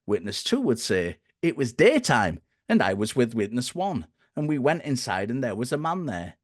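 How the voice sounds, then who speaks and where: Hoarse man, reasoning aloud, mid-range voice, no room sound. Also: mid-range voice, big pitch swings, moderate pace, dry recording, studio quality. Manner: thoughtful, restarts phrases. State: hoarse, croaky. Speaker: guest speaker. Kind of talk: conversational explanation.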